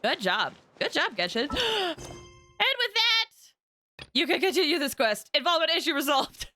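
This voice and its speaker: A woman chatting casually to viewers as she hosts a game livestream, in an animated, chatty manner, sounding a little tired. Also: high-pitched, measured pace. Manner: animated, chatty, with excited exclamations. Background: background music.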